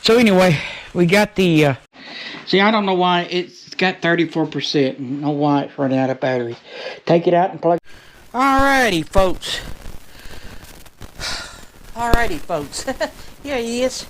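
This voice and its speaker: A man's voice: high voice, measured pace, long pauses. An American man chatting casually through a DIY job in a folksy, rambling way, muttering to himself as he works. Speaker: DIY motorcycle vlogger. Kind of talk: casual unscripted how-to vlog chat. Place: shed workshop. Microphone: action camera. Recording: noisy action-camera audio with clicks.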